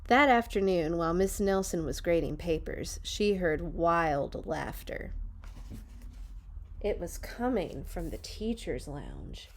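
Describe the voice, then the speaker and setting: A woman reading a children's story aloud with dramatic, deliberate phrasing and chatty, playful asides. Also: fairly low pitch, animated, a little hiss or hum. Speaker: read-along storyteller for children. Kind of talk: expressive picture-book read-aloud with chatty asides.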